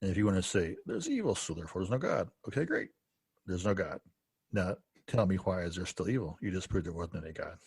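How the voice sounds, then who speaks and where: Male host, casual interview show. Deep, husky voice, unpolished and halting delivery. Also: sing-song, a little mellow, no echo. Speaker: podcast host.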